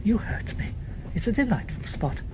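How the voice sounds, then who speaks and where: A woman voicing a chatty, gallant young English gentleman who talks in a breezy, teasing way. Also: mid-range voice, very animated, normal speed, a little hiss or hum, pitched above the performer's own voice, natural voice.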